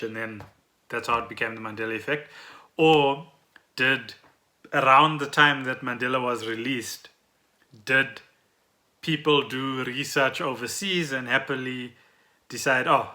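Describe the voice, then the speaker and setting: Woman, bright crisp-edged tone, conversational podcast co-host, informal. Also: a bass voice. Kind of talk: casual chat. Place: at home, indoors.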